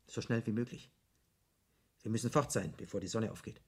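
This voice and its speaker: An actor playing an eloquent devil in a radio drama, arguing in emphatic, elaborate rhetoric as a persuasive tempter. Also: male voice, lowish voice, brisk, slightly rough.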